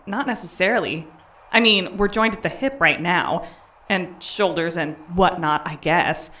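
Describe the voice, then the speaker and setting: A woman's voice: fairly low pitch, measured pace, fairly bright tone. A woman playing a bratty, defiant young alien twin in an audio comedy, sassy and argumentative. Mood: flippant. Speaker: voice actor. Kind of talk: audio comedy drama acting.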